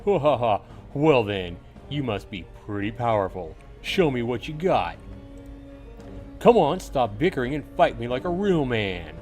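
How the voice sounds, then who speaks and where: A man's voice: medium pitch, sing-song, a little slow, darkish tone, faint music behind. A man voicing an arrogant young lord in a visual novel, sneering and goading his rival. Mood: sneering, challenging. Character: arrogant, taunting young male lord picking a fight. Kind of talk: visual novel character read aloud.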